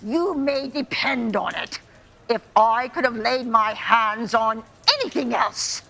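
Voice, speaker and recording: A woman playing a gleeful, callous old female scavenger in a theatrical radio play, shrill and cackling. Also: slow, sharp tone, full character voice. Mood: gleeful, scornful.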